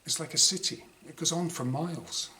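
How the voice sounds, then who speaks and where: A man reading his own first-person short story dramatically, in clipped diary-like phrases with pauses. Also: a little high, bright, forceful tone. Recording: clearly noisy room ambience.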